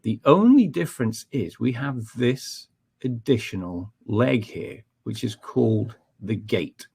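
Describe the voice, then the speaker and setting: A man's voice: mid-range voice, expressive, unhurried, gravelly, a little mellow, breathy edge. A man with a Scottish accent giving a technical webinar, explaining step by step with frequent ums and checking in with "okay?". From Scotland. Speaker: technical trainer.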